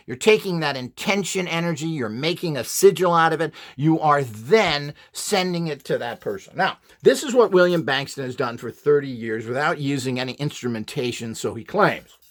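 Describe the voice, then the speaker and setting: A man's voice: a little high, a bit bright. A man giving an informal, rambling lecture as an instructor, in a blunt, opinionated way with sarcastic asides. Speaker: instructor presenting a build tutorial.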